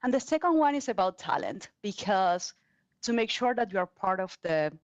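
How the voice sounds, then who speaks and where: A woman's voice: medium pitch, sing-song, normal speed, dry recording. A woman asking questions as a financial analyst over a video call. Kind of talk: prepared questions on a conference call. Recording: dull, lo-fi video call audio.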